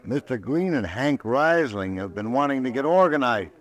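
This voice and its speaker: An actor playing a friendly, wry American saloon bartender in a radio western, talking in a folksy way. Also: male voice, medium pitch, lively intonation, normal speed, lightly acted. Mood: respectful. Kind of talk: old-time radio western acting.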